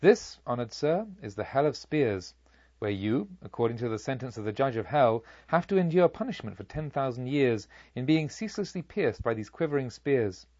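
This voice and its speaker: A man voicing a beast-headed guard of hell in a storybook, formal, slow and merciless as he pronounces sentence. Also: medium pitch, big pitch swings, a little mellow, no echo, highs cut off. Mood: stern, merciless. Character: fish-headed and dog-headed infernal watchmen, formal and merciless.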